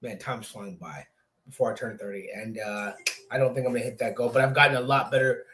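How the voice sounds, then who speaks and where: A man's voice: medium pitch, fairly even intonation, fairly quick, fairly bright tone, a little hiss or hum. Chatty young man hosting a sports show. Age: 20s. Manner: chatty, rambling, many fillers. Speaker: podcast host. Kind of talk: casual sports talk.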